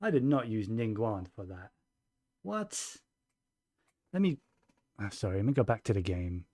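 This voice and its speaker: Low, mellow male game streamer. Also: very animated, a little slow, no echo. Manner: muttering to himself, thinking aloud. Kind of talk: casual live gameplay commentary. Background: faint game music.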